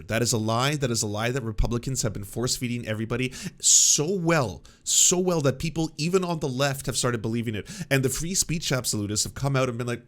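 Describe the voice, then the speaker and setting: Sarcastic man streaming political commentary. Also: mid-range voice. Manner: sarcastic, mocking, rambling with fillers. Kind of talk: casual live-stream commentary reacting to clips.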